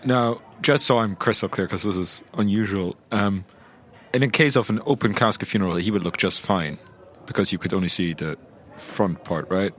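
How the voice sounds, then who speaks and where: An actor playing an earnest, literal-minded agent in a comedic audio drama, speaking carefully. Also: male voice, lowish voice, normal speed, a bit bright, natural voice.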